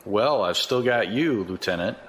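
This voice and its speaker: An actor in a science fiction audio drama playing a cocky, folksy, corrupt security chief who talks in a chatty, colloquial way. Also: male voice, on the low side, lively intonation, measured pace, a bit bright, slight background noise, rough recording. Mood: smug, threatening.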